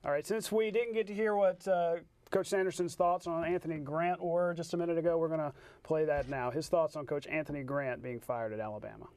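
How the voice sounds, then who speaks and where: A man with a notably high voice presents a live TV sports show. He talks in a breezy, conversational way, mixing segment links with joking banter. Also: steady tone, brisk, hardly any pauses, darkish tone, no echo.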